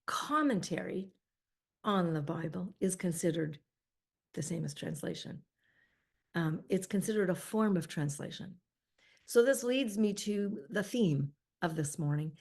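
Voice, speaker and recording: A woman giving a keynote lecture at a conference, measured and explanatory, repeating key points with emphasis. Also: lowish voice, vocal fry, a little mellow. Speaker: keynote speaker. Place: conference hall.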